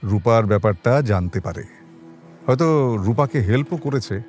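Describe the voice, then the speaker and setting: A man's voice: mid-range voice, expressive, moderate pace, faint music behind, lightly acted. An actor as a wry, reflective male detective. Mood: calm. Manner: musing, conversational, gently ironic. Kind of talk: Bengali audio drama acting.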